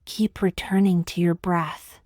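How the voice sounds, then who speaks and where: A woman leading a scripted guided meditation in a soothing, gentle, measured way, with long pauses and soft, breathy phrase endings. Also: on the low side, level tone, no echo, clean studio sound.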